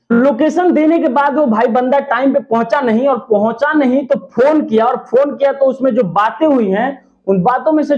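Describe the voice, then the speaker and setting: A man's voice: very high-pitched, darkish tone. A man giving opinionated, emphatic gossip commentary to his viewers, often repeating his points for stress. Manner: emphatic, repetitive, partisan. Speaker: YouTube commentator. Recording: dull lo-fi audio.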